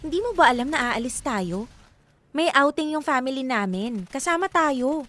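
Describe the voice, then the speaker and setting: A demanding young Filipino girlfriend in a radio drama. Her voice is high and very animated, with a pointed, exasperated way of challenging her partner. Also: normal speed. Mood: irritated, confronting.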